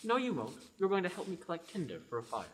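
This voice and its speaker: A man's voice: high-pitched, very animated, fast talker, a little hiss or hum. A young man playing a bossy, defensive war hero in an amateur audio drama. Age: young adult.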